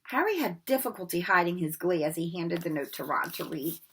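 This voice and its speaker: A woman reading a children's novel aloud in an expressive storybook manner, with occasional slips and rereads. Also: fairly low pitch, fairly quick, breathy edge. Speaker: read-aloud narrator.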